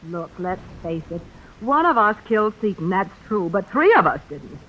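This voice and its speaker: A woman's voice: mid-range voice, very animated, moderate pace, slight background noise, natural voice. An actress playing a self-possessed, tough-minded young woman under suspicion in a vintage radio suspense drama. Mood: frank, calm. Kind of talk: 1940s radio suspense acting. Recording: dull vintage radio recording.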